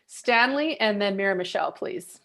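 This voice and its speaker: A woman runs an online session over a video call, briskly calling on each participant in turn. Her voice sits at a middle pitch and is practical in tone. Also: lively intonation, bright, forceful tone. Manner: brisk, calls on people by name. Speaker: host. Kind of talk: facilitating an online group session.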